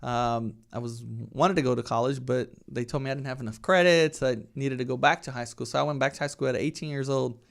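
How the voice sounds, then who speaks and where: A man's voice: mid-range voice, brisk, creaky, few pauses. A man in his thirties from Brazil with a Brazilian Portuguese accent, chatting casually as an interview guest and often ending points with "right?". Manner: conversational, tags statements with "right?". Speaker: real estate team leader, guest.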